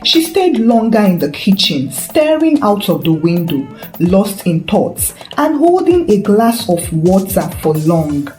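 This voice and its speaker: A woman's voice: mid-range voice, very animated, measured pace, hardly any pauses, darkish tone. A woman narrating a dramatic scripted story as a storyteller. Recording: roomy echo with faint music. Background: faint background music.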